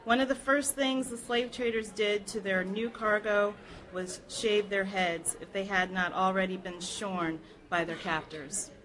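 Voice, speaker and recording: A woman reading a nonfiction history text aloud in a measured, earnest, formal way. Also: mid-range voice, steady tone, fairly bright tone, slight background noise, poor audio. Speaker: reader at a public library program.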